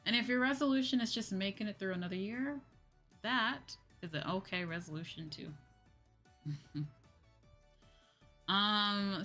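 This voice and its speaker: A woman's voice: mid-range voice, a bit bright. A slightly sleepy woman hosting a livestream, chatting casually and informally with her viewers. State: a little sleepy. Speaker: livestream host, VTuber.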